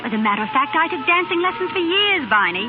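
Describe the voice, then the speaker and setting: High, swooping young woman in 1930s radio drama. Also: big pitch swings, fast talker. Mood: playful. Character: lively young women, a ditzy neighbor and a loving wife. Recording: tinny old radio broadcast, music behind.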